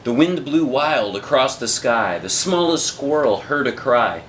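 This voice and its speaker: A man reads a children's picture book aloud in the style of a dad at storytime. His delivery is slow and very lively in pitch, with dramatic, rhythmic phrasing and casual asides. A faint noise runs under the recording.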